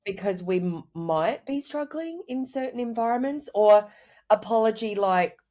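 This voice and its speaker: A woman with a cold, sounding croaky, answering interview questions over a video call in a reflective, rambling way. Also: fairly high pitch, expressive, moderate pace, dark tone. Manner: reflective, rambling with many "you know" and "I guess". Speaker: guest, writer and mother. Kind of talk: candid conversational interview answers.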